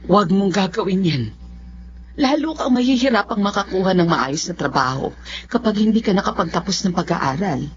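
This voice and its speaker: Woman acting weary filipino mom in radio drama, pleading but firm, really animated pitch, dull noisy audio. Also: normal speed, natural voice. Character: long-suffering, resolute mother of a student son.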